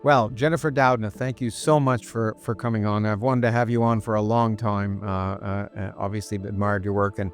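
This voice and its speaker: A man hosting an interview podcast, talking in halting runs with many restarts and repeated words. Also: on the low side, little pitch movement, faint music behind. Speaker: podcast host. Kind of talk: interview podcast, intro then conversation. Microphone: remote interview setup.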